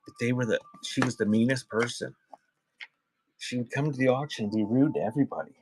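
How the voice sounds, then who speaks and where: A man with a mid-pitched voice hosts a live online sale in a casual way. He talks conversationally, drifting between short item call-outs and loose chat with the people watching. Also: brisk, a little hiss or hum, rough recording. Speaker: live auction seller and host. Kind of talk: casual livestream selling chat.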